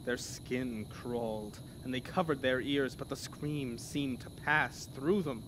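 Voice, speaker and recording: A man's voice: mid-range voice, steady tone, takes it slowly, background noise. A man narrating a scripted horror story in a dramatic, theatrical manner.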